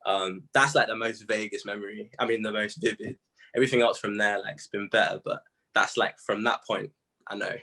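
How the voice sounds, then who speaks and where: A man's voice: fairly low pitch, steady tone, fairly quick, bright, forceful tone. A young man from Zimbabwe, chatting casually and laughing often on a video call. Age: young adult. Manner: slangy, laid-back, laughs often. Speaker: musician guest. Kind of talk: casual interview chat.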